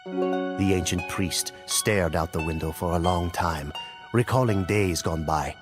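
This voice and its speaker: Male storyteller, lower register, measured and solemn, game cutscene narration over a clear music bed. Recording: music playing under the voice. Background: clear background music.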